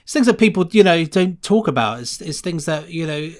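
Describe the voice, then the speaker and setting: A man's voice: fairly high pitch, expressive, brisk. A man speaking as a podcast guest and sound designer, chatting casually and enthusiastically in an effusive, rambling way.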